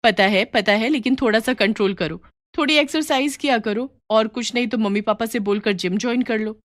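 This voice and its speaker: A woman's voice: a little high, a little fast, no echo, studio quality, natural voice. A woman voicing a cheeky, teasing younger sister who talks casually and mockingly in a moral story.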